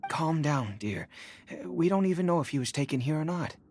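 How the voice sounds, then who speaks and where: A man voicing a weary, cautious village father in an anime game, with a measured, hesitant manner. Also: fairly high pitch, animated, a little mellow, a little hiss or hum. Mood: calming.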